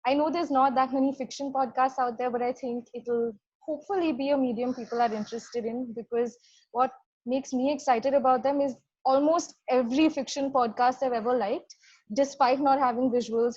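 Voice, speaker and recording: A woman's voice: sits high, steady tone, moderate pace, darkish tone, dry recording, lo-fi sound. A woman with an Indian accent, chatting casually as a guest on a podcast. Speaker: podcast guest, fiction podcast creator. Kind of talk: casual chat.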